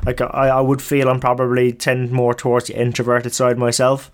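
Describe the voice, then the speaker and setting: A man's voice: medium pitch, completely flat delivery, moderate pace. A man hosting a podcast, asking questions in a reflective, hesitant way. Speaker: podcast host. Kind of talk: interview questions.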